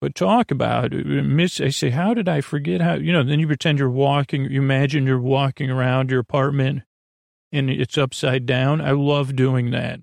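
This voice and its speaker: Creaky-voiced, meandering man. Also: mid-range voice, steady tone, moderate pace, no echo, studio quality. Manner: meandering, bumbling, trailing off and circling back. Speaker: podcast host. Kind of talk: rambling bedtime podcast monologue.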